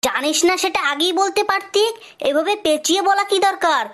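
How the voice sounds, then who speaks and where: A woman's voice: extremely high pitch, fairly quick. A woman voicing an exasperated, scolding male schoolteacher in a comic cartoon dub. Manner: loud, scolding, exclaiming questions.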